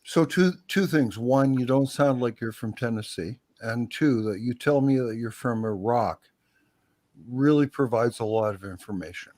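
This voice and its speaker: A man hosting a livestream pushes back on everything his guest says, doubting each claim. He often restarts and repeats himself as he argues, and his voice sits at an ordinary middle pitch. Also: moderate pace, darkish tone, no room sound. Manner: skeptical, challenging, repeats himself. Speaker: livestream host. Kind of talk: heated casual debate.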